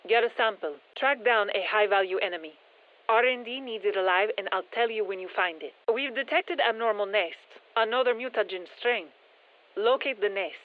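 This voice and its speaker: An actress playing a brisk, focused female military scientist giving clipped mission instructions over a radio in a video game. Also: medium pitch. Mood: brisk, instructive. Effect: radio filter. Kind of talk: scripted game mission briefing. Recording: tinny band-limited radio transmission.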